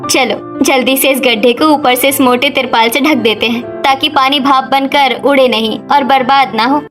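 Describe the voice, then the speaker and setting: A woman's voice: sits high, steady tone, moderate pace, fairly bright tone, faint music behind. A woman voicing a sensible, encouraging elder village daughter-in-law in a Hindi cartoon story. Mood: delighted.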